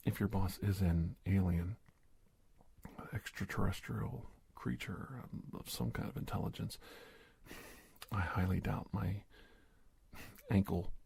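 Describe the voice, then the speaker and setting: A man playing a calm, reassuring government agent in a soft-spoken ASMR roleplay, breathy and gravelly, hesitant and gentle, often dropping toward a whisper. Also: deep voice, slight background noise.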